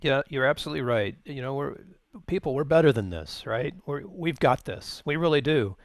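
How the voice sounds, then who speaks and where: A man's voice: medium pitch, brisk, no room sound, clean studio sound. An American man co-hosting a radio show in a laid-back, colloquial, conversational way. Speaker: co-host and musician. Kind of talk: casual supportive co-host chat.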